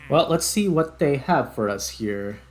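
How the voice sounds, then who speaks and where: A man's voice: medium pitch, lively intonation, measured pace. A man doing casual gameplay commentary as a host, thinking aloud and muttering his way through tasks. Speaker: host, gaming video creator.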